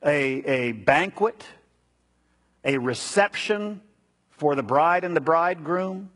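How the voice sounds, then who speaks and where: Guy preaching, kinda high husky voice, takes his time and stresses key phrases. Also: takes it slowly, fairly bright tone. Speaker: pastor preaching. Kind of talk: sermon, expository teaching.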